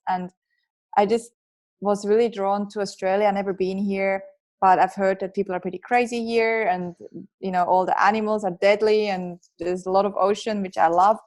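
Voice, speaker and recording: A woman in her thirties with a strong Swiss accent, a guest telling her story openly in a podcast interview, quick bursts with restarts. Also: medium pitch, a little mellow. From Switzerland. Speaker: guest, entrepreneur and author.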